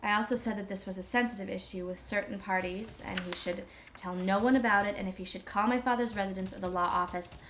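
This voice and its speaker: A woman's voice: mid-range voice, fairly even intonation, fast, hardly any pauses, a bit bright, a little hiss or hum, close to an everyday voice. An actress playing a reflective woman giving a hesitant, confiding account in a naturalistic documentary interview style. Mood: matter-of-fact. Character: reflective woman recounting meeting her secret half-brother.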